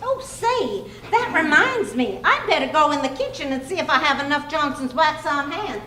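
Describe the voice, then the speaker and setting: Lady acting fond sensible wife in old radio comedy, high swingy voice, teasing, roomy live recording. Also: sing-song, normal speed, bright, forceful tone, heavily acted. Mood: curious. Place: clubhouse stage performance. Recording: roomy live hall, faint noise.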